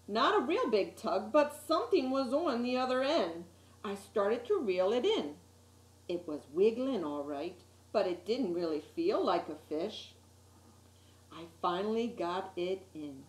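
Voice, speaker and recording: A woman's voice: mid-range voice, measured pace. Woman reading a storybook in an echoey room. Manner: expressive storyteller with asides to listeners. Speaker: story time reader. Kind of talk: reading a children's picture book aloud.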